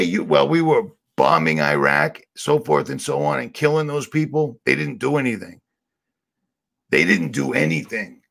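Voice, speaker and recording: A man with a raspy voice, ranting sarcastically and rambling on a livestream as the channel host. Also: medium pitch, fairly bright tone. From Boston area. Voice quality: raspy, gravelly.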